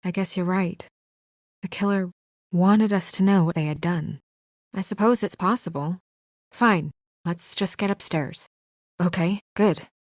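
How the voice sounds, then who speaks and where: A woman voice actor playing an earnest, composed young woman official in a video game, speaking in a measured, serious, restrained way. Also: lowish voice, no room sound, studio quality, close to an everyday voice. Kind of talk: naturalistic video game dialogue.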